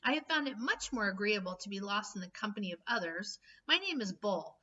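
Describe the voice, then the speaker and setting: A woman voicing an enormous bearded man who speaks in a formal, gently playful, courteous way in a storybook reading. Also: mid-range voice, lively intonation, a little fast. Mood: genial. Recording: dull, slightly distant recording.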